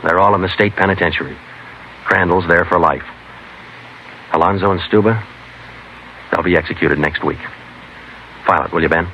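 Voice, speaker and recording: An actor playing a terse police sergeant, narrating a case in clipped, deadpan style for an old radio drama. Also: male voice, deep voice, normal speed, long pauses, slight background noise. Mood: flat, factual. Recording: dull old radio broadcast recording.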